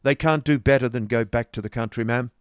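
A stern, blunt gentleman who speaks in hard, clipped, forceful lines, as a male audiobook reader plays him. His voice runs louder and higher than the reader's own. The sound stays close and dry. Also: brisk, clear, resonant tone, no echo, lightly acted. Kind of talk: audiobook character voice.

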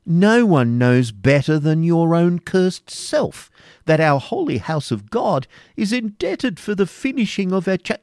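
Man doing a high, blustering, pompous churchman. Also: very animated, measured pace, a little mellow, no echo, clean studio sound. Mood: outraged. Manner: stammers when outraged. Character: pompous indignant churchman prior, blustering and scornful.

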